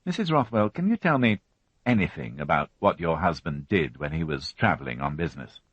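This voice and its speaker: A man voicing a patient, weary male chief inspector who questions calmly and evenly, in a storybook reading. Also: a bass voice, a little fast, no echo, exaggerated voice. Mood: questioning. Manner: calm, level questioning. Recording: dull, dry studio audiobook.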